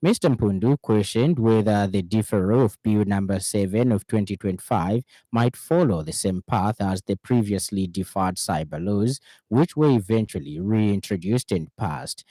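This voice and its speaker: A male radio news presenter with a mellow, lowish voice reads a scripted bulletin. His delivery is formal and measured, with the odd stumble, and the sound is close and dry. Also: no room sound, low-quality recording.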